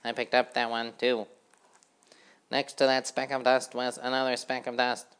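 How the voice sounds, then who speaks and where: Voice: mid-range voice, level tone, normal speed, fairly bright tone, clear, resonant tone, voice pushed up, close to an everyday voice. A narrator voicing a fussy housefly who sweeps the road and never wants to rest. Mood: eager. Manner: eager, fussy.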